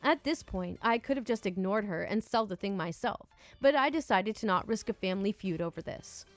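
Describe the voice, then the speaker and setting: A woman narrating online stories in an animated way, with exasperated, indignant asides. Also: mid-range voice, a little fast, faint music behind. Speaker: storyteller reading online stories. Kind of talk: story narration with commentary.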